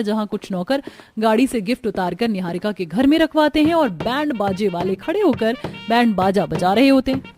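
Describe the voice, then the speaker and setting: A woman storyteller delivers scripted narration for a cartoon tale at a middle pitch. Faint music plays behind her voice. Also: a little mellow.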